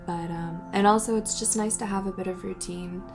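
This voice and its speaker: A woman's voice: mid-range voice, fairly even intonation, a little fast, vocal fry, music in the background. A young woman answering viewer questions in a rambling, casual chat, breaking off mid-sentence and laughing between thoughts.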